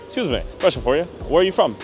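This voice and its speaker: A man's voice: on the high side, sing-song, very fast, music in the background. Man doing casual street interviews. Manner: upbeat, questioning, ad-read emphasis. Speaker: vlogger interviewing passersby. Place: outdoors on city streets.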